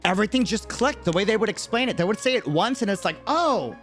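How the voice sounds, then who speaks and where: A man's voice: high-pitched, lively intonation, fast, fairly bright tone, faint music behind. A man giving casual livestream commentary on a game, talking earnestly and conversationally, explaining things by example.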